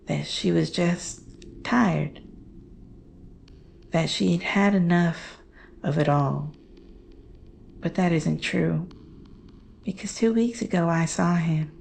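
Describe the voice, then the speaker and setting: Woman acting slow, gravelly haunted daughter. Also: on the low side, a little mellow, slight background noise, full character voice. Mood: grieving, fearful. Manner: halting, deliberate, with weighty pauses. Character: Jane, haunted grieving daughter recounting dread. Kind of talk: slow dramatic horror monologue.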